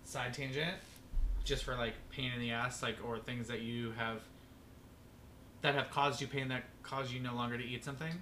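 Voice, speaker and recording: A young man co-hosting a podcast in loose, rambling chat, full of fillers and casual swearing. His voice is bright and sharp at an average pitch. A faint hum of noise sits behind him. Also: level tone, normal speed. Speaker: podcast co-host.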